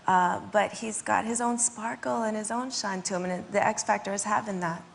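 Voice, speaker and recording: A woman's voice: medium pitch, steady tone, normal speed, vocal fry. Jet lagged southern american lady, giggly talk show guest, faint hiss in the back. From USA, Kentucky. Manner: giggly, enthusiastic. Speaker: TV talent show judge. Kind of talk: chat show guest conversation. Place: TV studio with audience.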